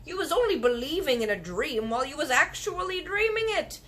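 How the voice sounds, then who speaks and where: Brief: gentle stubborn old giant, slow and lilting, high for a giant, a woman reading it aloud. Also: big pitch swings. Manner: slow, mangled grammar and made-up words. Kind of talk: storybook reading.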